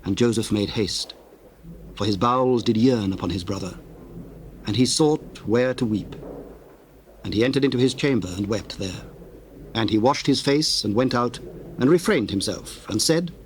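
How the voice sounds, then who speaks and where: Formal male scripture narrator. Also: medium pitch. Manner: formal, measured storytelling. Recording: noisy old cassette tape.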